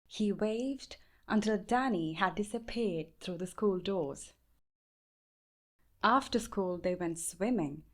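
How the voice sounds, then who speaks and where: A woman with an Indian accent reading a children's bedtime story aloud in a measured, expressive way. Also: mid-range voice. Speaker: storybook reader.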